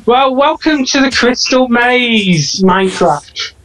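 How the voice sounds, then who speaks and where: A young woman plays a mock game-show host over voice chat, giggly and loose with the rules. Her voice sits a little high. She sounds distant from the mic. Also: animated, takes it slowly, background noise. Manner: giggly, loses track of the rules.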